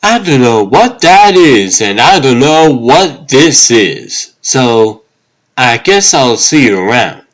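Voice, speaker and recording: A man's voice: medium pitch, very animated, slow, low-quality recording. A man voicing a wary, wry former agent and weapons expert in a comic dub, with a dry, hesitant delivery. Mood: guarded.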